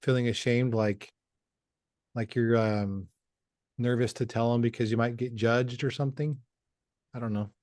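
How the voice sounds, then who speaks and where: A man asks questions in an easy, encouraging way, full of fillers and loose phrasing. His voice sits at a middle pitch. The sound is dry, with no room echo. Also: steady tone, normal speed, pauses often, darkish tone. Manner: casual, encouraging, uses many fillers. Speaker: interviewer. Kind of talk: conversational interview.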